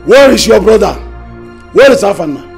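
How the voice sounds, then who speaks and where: An actor playing a stern palace elder who forcefully demands answers. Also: male voice, a little high, expressive, a little slow, gravelly, breathy edge, faint music behind, exaggerated voice.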